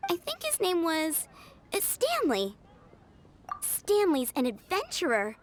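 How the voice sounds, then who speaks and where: A woman's voice: very high-pitched, huge pitch swings, slow pace, a little hiss or hum, heavily acted. A woman voicing a cheerful, imaginative little girl in a video game, chattering eagerly. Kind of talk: video game character acting.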